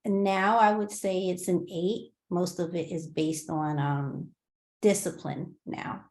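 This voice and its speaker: A woman speaks very slowly and thoughtfully as she shares her experience with an online group. Her voice is a bit low and sounds roomy and echoey, as if picked up from a bare room on a video call. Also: steady tone, a little mellow. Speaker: workshop participant.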